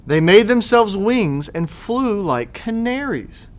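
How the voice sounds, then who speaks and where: A man reading to children as a storybook narrator, expressive and sing-song with the rhymes. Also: high voice, slow. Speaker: school superintendent reading to children.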